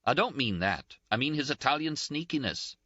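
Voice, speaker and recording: A man voicing a tearful, scolding young Scottish nurse, shrill and sobbing in an audiobook. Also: sing-song, moderate pace, bright, forceful tone, no echo, highs cut off. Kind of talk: audiobook character voice.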